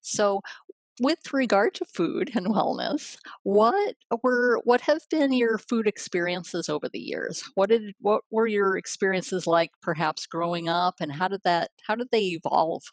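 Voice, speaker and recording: A woman hosts an interview with a gravelly, fry-tinged voice. She warmly affirms and echoes back what her guest says. Her audio is dry and close. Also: medium pitch, a little slow. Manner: affirming, rephrases guest's points. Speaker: podcast host. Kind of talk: casual interview chat.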